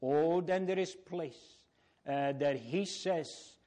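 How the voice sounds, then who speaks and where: Male preacher, higher-pitched voice, very slow halting delivery, solemn and exhortatory. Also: dark tone. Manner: exclamatory "oh" openings, rhetorical questions. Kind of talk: solemn sermon.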